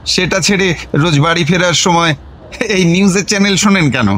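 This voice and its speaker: A respectful male chauffeur in an audio drama, curious and deferential. His voice is a little high, over faint car noise. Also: a little fast, fairly bright tone. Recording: car engine ambience behind.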